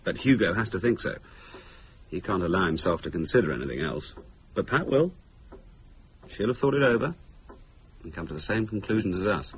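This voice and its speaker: An actor playing a wry, articulate young British husband who teases morbidly in a radio thriller. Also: male voice, sits low, big pitch swings, brisk, long pauses, noisy background. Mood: pensive. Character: sardonic, teasing young British husband, educated and morbidly playful. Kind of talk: radio thriller drama dialogue.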